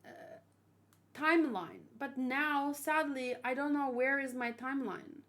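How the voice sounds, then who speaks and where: High-voiced woman reacting casually. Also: measured pace, fairly bright tone. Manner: emotive, conversational, trailing off mid-thought. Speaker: host of a manga read-along. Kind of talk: casual reaction commentary, reading aloud.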